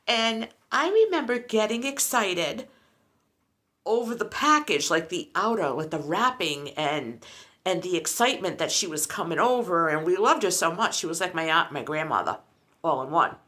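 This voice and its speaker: Woman in her sixties for a vlog, chatty and rambling, bright animated delivery. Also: on the low side. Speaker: lifestyle vlogger. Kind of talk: casual chat.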